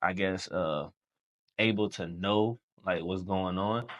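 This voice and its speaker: A young man from Haiti, a Creole speaker, telling his story as a podcast guest in relaxed slangy chat. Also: low-pitched, slow, lo-fi sound. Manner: relaxed storytelling, slangy, says bro often. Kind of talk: casual chat.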